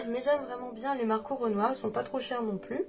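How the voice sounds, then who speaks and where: A French woman with a cold giving a relaxed coloring tutorial, talking hesitantly with many fillers and restarts. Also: fairly high pitch, measured pace. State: congested with a cold, coughing. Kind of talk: relaxed step-by-step drawing tutorial. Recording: with guitar music playing behind.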